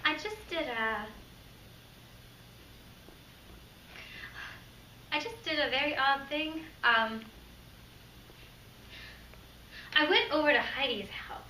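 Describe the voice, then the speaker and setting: Uneasy, fixated young woman played by an actress confessing in a theatrical monologue; hesitant, hushed, roomy sound with faint noise. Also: sits high, expressive, moderate pace, recorded from a distance. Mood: uneasy, confessional.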